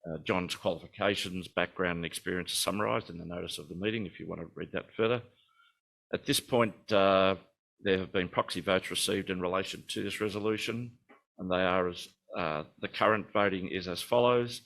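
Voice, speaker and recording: Deep, gravelly man running a meeting. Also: brisk. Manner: relaxed, with frequent "uh" and "um" fillers. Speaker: director standing in as chair. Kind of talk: formal meeting procedure. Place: meeting room.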